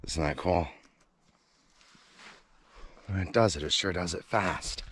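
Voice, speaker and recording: Low-voiced man vlogging outdoors. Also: takes it slowly, a bit bright, husky. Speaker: vlogger and farm worker. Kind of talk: casual outdoor vlog narration. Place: outdoors on a farm and hillside. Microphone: handheld camera at arm's length. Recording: noisy handheld outdoor recording.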